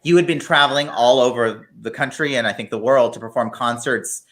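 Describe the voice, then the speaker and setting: A man hosting a video call interview who asks his questions in a halting way, with many "um"s and "uh"s. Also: mid-range voice, a bit bright. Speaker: host.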